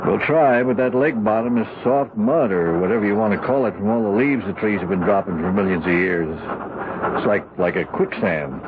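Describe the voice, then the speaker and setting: An actor playing a plainspoken rural police officer who talks in a folksy, halting way, in a radio drama. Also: male voice, fairly low pitch, lightly acted. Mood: somber. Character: gruff rural lawman, plainspoken and blunt. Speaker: police officer at a crash scene. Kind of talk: 1970s radio drama acting. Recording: tinny old radio broadcast, loud outdoor noise.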